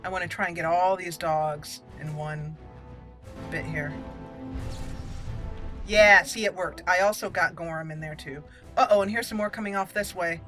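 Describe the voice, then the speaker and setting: Bright-voiced woman chatting through a playthrough. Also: lowish voice, normal speed, long pauses. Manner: excitable, playful, chatty. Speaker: gaming channel host. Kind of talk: casual let's play commentary. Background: game music under the voice.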